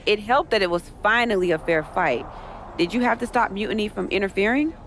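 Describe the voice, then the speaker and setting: A woman's voice: medium pitch, fairly quick, a bit bright, a little hiss or hum, natural voice. A woman playing a concerned, businesslike wrestler ally in a video game cutscene. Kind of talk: scripted game cutscene dialogue.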